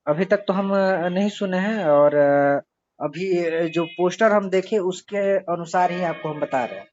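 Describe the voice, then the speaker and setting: A man interviewing someone casually over the phone, asking probing questions in a halting way. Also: sits high, a little mellow. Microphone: phone call. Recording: dull lo-fi phone call recording.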